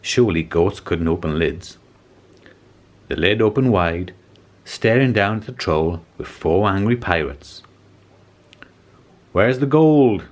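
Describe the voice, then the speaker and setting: A man reading a children's story aloud as an expressive narrator. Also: low-pitched, measured pace. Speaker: storybook reader.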